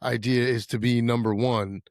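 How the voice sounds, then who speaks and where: A man's voice: mid-range voice, fairly even intonation, normal speed, darkish tone, no echo, clean studio sound. A man co-hosting a podcast, asking questions in a rambling, friendly way with frequent restarts. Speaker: podcast co-host. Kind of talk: casual interview chat.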